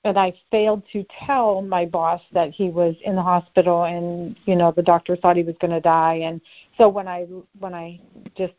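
A woman's voice: on the low side, little pitch movement, moderate pace. A woman being interviewed as a personal development expert, giving earnest, direct advice. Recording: slightly dull, lo-fi audio.

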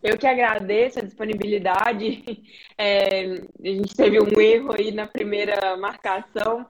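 A woman's voice: medium pitch, steady tone, unhurried, poor audio. A woman in her twenties with a Belo Horizonte Mineiro accent, hosting a live-streamed interview and explaining her project in a friendly, fluent way. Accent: Mineiro Brazilian Portuguese. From Belo Horizonte, Brazil. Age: 20s. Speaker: host. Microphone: home setup, streamed live.

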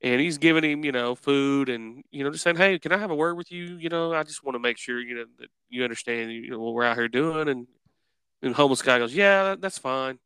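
A man's voice: mid-range voice, a little fast, bright, forceful tone, smooth, no echo, poor audio. A man hosting a casual interview, rambling and circling his way toward a question. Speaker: podcast host interviewer.

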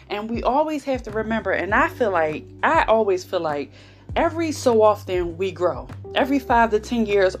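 An American woman hosting a podcast, talking in a casual, heartfelt and conversational way, repeating phrases for emphasis with an earnest, encouraging manner. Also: mid-range voice. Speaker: podcast host. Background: background music.

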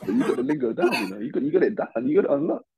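A man's voice: mid-range voice, big pitch swings, racing, very noisy. A British man, a podcast guest, chatting casually in a playful, teasing way and using lots of slang. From United Kingdom. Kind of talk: casual chat.

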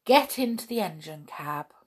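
A woman's voice: lowish voice, lively intonation, normal speed, breathy edge. A woman voicing a cross, bossy male judge in a children's storybook, curt and commanding.

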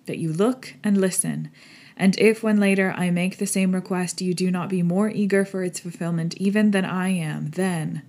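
A woman's voice: on the low side, normal speed, darkish tone, lightly acted. A grave old professor, played by a woman narrator, stern but compassionate. He speaks formally and earnestly, with the solemn manner of an audiobook drama. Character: grave, pitying elderly male professor, stern yet kind. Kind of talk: audiobook character acting.

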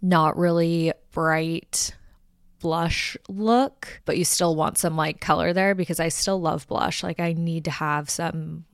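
A woman hosting her own podcast, talking casually and rambling from one thought to the next with plenty of filler words. Her voice sits a little low and comes through smooth and clean, with a slight allergy-stuffed nasal edge. The close, dry recording keeps every word upfront. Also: fairly even intonation, slow pace, dry recording. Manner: chatty, rambling, frequent "like" fillers. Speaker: podcast host. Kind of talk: casual solo podcast chat.

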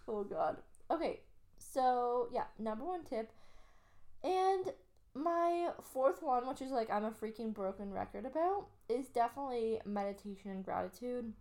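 Chatty Canadian woman with gravelly fry. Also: a little high, expressive, moderate pace, warm tone. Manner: chatty, upbeat, lots of fillers. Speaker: podcast co-host. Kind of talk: casual chat.

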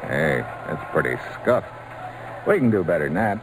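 Deep, hearty baseball star. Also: male voice, sing-song, moderate pace. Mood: hearty, kindly. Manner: hearty, folksy, kindly. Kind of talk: 1940s radio acting. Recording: noisy old radio recording.

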